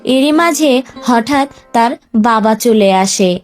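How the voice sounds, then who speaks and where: A woman narrating a scripted cartoon story in an expressive storybook manner. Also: a little high, slow pace, soft background music.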